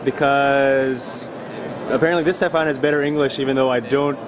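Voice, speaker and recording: A man asks questions in a casual, lightly joking way, pausing with fillers as he thinks. His voice sits in a middle range, and the chatter of a trade fair crowd fills the background. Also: medium pitch, steady tone. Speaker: interviewer, photographer. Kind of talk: casual interview at a trade show. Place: busy trade fair booth, indoors.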